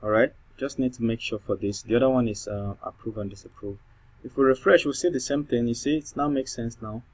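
A man's voice: mid-range voice, a little fast, darkish tone. A man speaking English with a non-native accent, thinking aloud through a coding tutorial with many fillers and restarts. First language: not English. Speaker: programming tutor. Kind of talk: screen-recorded coding tutorial.

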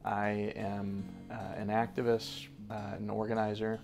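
A man's voice: lowish voice, little pitch movement, unhurried, music in the background. A young man from Connecticut, an environmental justice organizer, answering interview questions earnestly with frequent restarts and fillers. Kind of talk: sit-down interview. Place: office gallery room.

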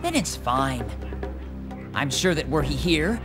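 A shrewd, indulgent ruling lord speaks in a measured, knowing way. It is video game drama, with loud music behind the voice. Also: male voice, on the high side, very animated. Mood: approving. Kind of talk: English game dub acting.

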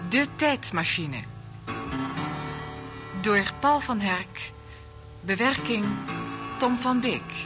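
A man's voice: extremely high pitch, lively intonation, extremely slow, long pauses. A man announcing radio serial titles and credits in a dramatic style. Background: loud music.

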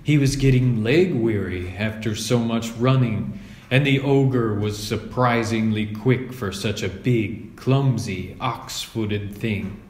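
A man's voice: on the low side, takes it slowly. A man reading a children's story aloud as scripted narration. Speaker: storybook reader. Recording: roomy echo.

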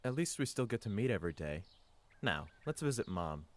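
A man playing a gentle, caring young sweetheart, earnest and supportive, in a comic dub. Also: on the low side, big pitch swings, a little fast, slight background noise.

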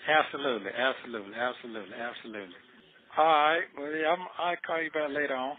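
A man's voice: medium pitch, quick pace. A man chatting casually on a phone call, often repeating his words and short phrases. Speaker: jail inmate on a phone call. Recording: tinny phone line.

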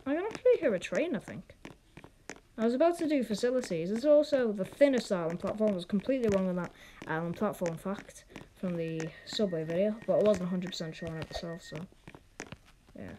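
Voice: mid-range voice, lively intonation, brisk, mellow. A casual gaming vlogger giving rambling, chatty, opinionated commentary as host of the video. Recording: clearly noisy home recording with clicks.

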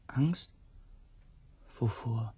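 An actor playing a troubled, disbelieving lover in a radio play, soft-voiced, earnest and questioning. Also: male voice, medium pitch, drawn-out words, exaggerated voice. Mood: puzzled, quiet. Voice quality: soft, breathy. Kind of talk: intimate German radio play acting.

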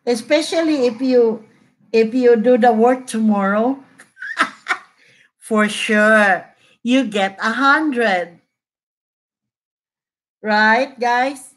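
A woman's voice: a little high, very slow, pauses often, rough recording. A middle-aged woman with a Filipino accent, hosting a live stream from her home kitchen and chatting casually with viewers. She laughs often and mixes English with Cebuano, talking into a laptop mic. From Philippines. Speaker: host of a live cooking stream. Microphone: laptop webcam mic at arm's length. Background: guitar playing.

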